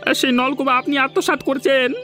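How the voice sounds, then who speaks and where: A man's voice: very high voice, flat delivery, a little fast, sharp tone, soft background music. A man voicing an earnest, aggrieved villager in a fairy-tale cartoon. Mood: indignant.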